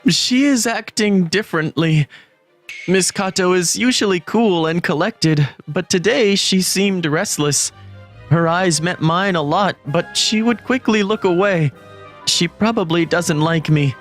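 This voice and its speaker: A man plays an introverted teenage schoolboy narrating a manga romance. He is gloomy, lovestruck and quick to panic, putting himself down with dramatic flair. Music plays underneath. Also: high-pitched. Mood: resigned. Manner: self-deprecating, dramatic. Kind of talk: first-person manga story narration.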